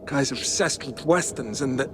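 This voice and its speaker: An actor playing a smooth, businesslike hitman in a noir game, polite, breezy and mocking. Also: medium pitch, normal speed, background noise, natural voice. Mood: breezy, dismissive.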